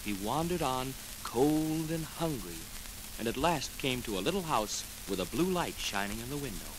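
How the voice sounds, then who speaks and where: Lively vintage male storyteller. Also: mid-range voice, moderate pace, background noise. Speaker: narrator. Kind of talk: 1940s children's storytelling. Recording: old 78 rpm record with music.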